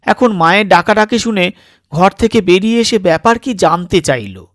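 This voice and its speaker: High-pitched man narrating a suspense story. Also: lively intonation, normal speed, a bit bright, no room sound, clean studio sound. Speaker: audiobook narrator.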